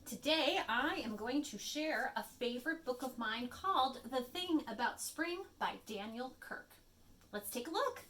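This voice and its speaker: A woman's voice: high-pitched, lively intonation, a little slow, pushed and bright, slight background noise. A woman children's librarian reading a picture book aloud, with friendly, chatty asides to young listeners. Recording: voice a bit far from the mic.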